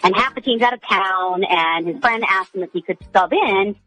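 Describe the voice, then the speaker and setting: A woman's voice: mid-range voice, normal speed, bright, forceful tone, soft background music, rough recording. A woman calling in to a radio show, telling her story in a casual, conversational way.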